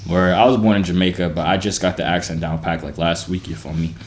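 A man's voice: low voice, fairly even intonation, quick pace, a little hiss or hum. Teasing young man on a phone video chat.

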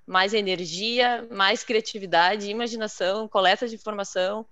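A young woman explains as a guest on a live video call, her smooth voice coming through a dry, slightly dull line. She repeats words and leans on fillers as she goes. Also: medium pitch, steady tone, moderate pace, fairly bright tone, no room sound. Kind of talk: live webinar conversation. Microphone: headset on video call.

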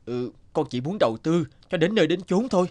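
A man's voice: a little high, animated, fairly quick, a little mellow, no room sound, close to an everyday voice. A man voicing a pleading, impatient young man in a cartoon, with a whining, emphatic way of talking. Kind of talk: cartoon dubbing.

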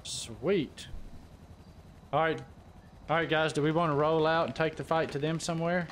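A man's voice: on the high side, steady tone, normal speed, a bit bright, slight background noise. A man chatting casually as he hosts a live game stream. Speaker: livestream host and gamer.

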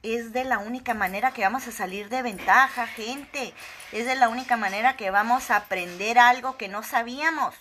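A woman's voice: normal speed, a little hiss or hum. Sarcastic northern Mexican woman ranting. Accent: northern Mexican Spanish. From Ciudad Juárez, Mexico. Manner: sarcastic, joking, swings between loud outbursts and low asides. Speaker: vlogger. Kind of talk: casual rant to camera. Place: indoor room with wooden shelves. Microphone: phone camera at arm's length.

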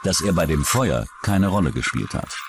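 Very deep-voiced male documentary narrator. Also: very animated, normal speed, background noise. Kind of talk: scripted true-crime narration.

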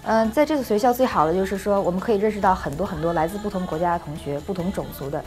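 A woman student talks at an ordinary pitch, unhurried and thoughtful, pausing with small fillers as she gathers her thoughts. Soft music plays faintly behind her. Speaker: international student. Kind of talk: casual interview answer.

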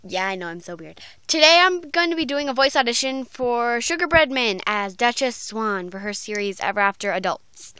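A woman's voice: a little high, lively intonation, moderate pace, hardly any pauses, sharp tone, clean tone, dry recording. A woman chatting casually and playfully, rambling in a goofy, self-mocking way. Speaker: amateur voice actor vlogger.

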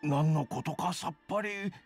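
A man's voice: fairly high pitch, moderate pace, faint music behind, close to an everyday voice. A man voicing a nervous, deferential bookshop editor in a Japanese game, very polite, flustered and hesitant.

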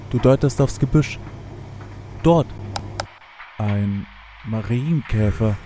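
A man acting as a detached, brooding narrator in a literary radio play, delivering his lines deadpan. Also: fairly low pitch, takes it slowly. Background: faint music.

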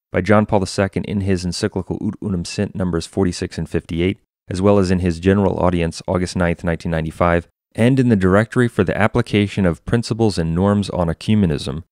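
Deep-voiced man, formal scripted narration. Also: a little mellow, no room sound, clean studio sound. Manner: emphatic, declarative, formal. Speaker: religious commentator narrating.

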